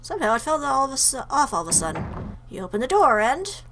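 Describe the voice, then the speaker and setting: A woman's voice: high-pitched, very animated, quick pace, a bit bright, slight background noise, rough recording. A woman doing let's play commentary, reading game text aloud and reacting with asides. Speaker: gaming video host.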